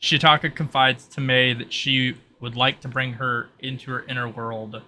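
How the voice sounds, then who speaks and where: A man's voice: medium pitch, little pitch movement, moderate pace. A man acting as game master, casually explaining tabletop rules over a noisy home mic.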